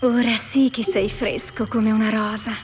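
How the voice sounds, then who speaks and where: A woman's voice: fairly high pitch, steady tone, moderate pace, faint music behind, lightly acted. An actress playing an approving, fussing Italian woman in a radio play, with an Italian accent.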